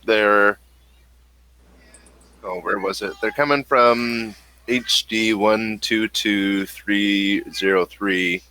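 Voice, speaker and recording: Low, unhurried male voice with a bright, forward edge to it. He narrates a sci-fi tabletop session as game master, often stopping mid-sentence to hunt through his notes. Each answer comes out measured and deliberate. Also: steady tone, clear, resonant tone, poor audio. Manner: slow, hesitant, searching his notes aloud.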